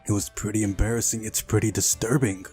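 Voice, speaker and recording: A man with a fairly low voice reads through a visual novel as a let's play host. He slips between narration and incredulous, exasperated commentary, with game music faintly behind him. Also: level tone, fast talker, a little mellow, breathy edge. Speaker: video game playthrough host.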